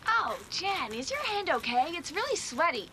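A woman's voice: very high-pitched, big pitch swings, moderate pace, bright, forceful tone, a little hiss or hum, full character voice. A woman playing a chatty, squeamish, teasing and dramatic young woman in a comedy drama. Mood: haughty. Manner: dramatic, rambling, exclamatory. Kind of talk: two-hander comedy drama dialogue.

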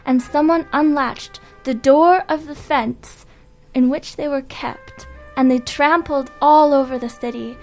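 A woman voicing an excitable, dramatic young woman informant in a comic radio noir play. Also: high-pitched, a little slow, faint music behind. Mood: melodramatic. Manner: breathless, melodramatic.

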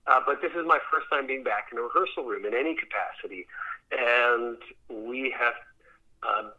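A man's voice: medium pitch, moderate pace. Man on a muffled call, thoughtful and rambling. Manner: rambling, thoughtful, repeats words as he searches. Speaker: theater director, interview guest. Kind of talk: conversational interview answers. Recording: muffled radio or phone-call sound.

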